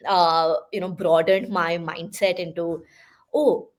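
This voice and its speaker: A woman's voice: fairly low pitch, flat delivery, slow. A young woman with an Indian English accent, a podcast guest talking through her path, with frequent fillers and asides. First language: Hindi. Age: young adult. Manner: thoughtful, with many fillers and asides. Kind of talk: conversational interview.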